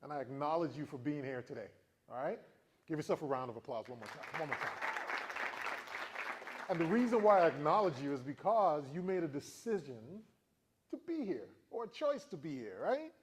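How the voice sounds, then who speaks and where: A man in his forties from the Virgin Islands, giving a motivational talk to students with animated storytelling and questions for the crowd. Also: a little high, moderate pace, background noise. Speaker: motivational speaker.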